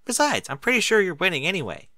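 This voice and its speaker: Eager, precise young pony mare played by a man, lifted pitch and bright tone, for a storybook. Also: huge pitch swings, fast, dry recording, clean studio sound, exaggerated voice. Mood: smug, triumphant. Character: eager, bookish young female unicorn pony, smug and earnest.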